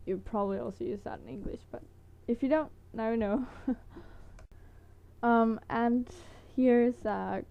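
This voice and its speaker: A woman's voice: a little high, a little slow, gravelly, highs cut off. A woman from Denmark with a Danish accent, teaching words in a casual, hesitant vlog with many fillers and small laughs. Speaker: language teacher vlogger.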